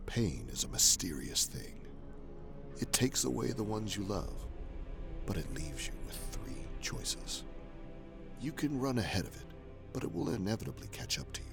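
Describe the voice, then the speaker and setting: A man voicing a menacing chaos-sworn warrior who is no longer human, speaking in a gravelly, brooding whisper in a fan audio drama. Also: deep voice, heavily acted. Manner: brooding, preaching about pain. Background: dramatic music.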